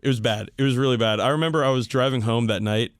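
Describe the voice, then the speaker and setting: Male podcast host, hoarse and tired-voiced, medium pitch, dry close sound, loose sweary chat. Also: fast talker, no room sound. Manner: informal, profane. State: hoarse, voice worn out. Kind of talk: casual chat about tabletop wargaming.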